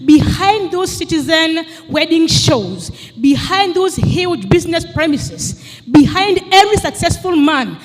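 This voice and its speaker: Rousing woman, high voice. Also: expressive, a little slow. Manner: rousing, rhetorical, teasing. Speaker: panelist, geographer. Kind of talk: impassioned public debate speech. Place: large hall with audience. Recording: long hall reverb with faint noise.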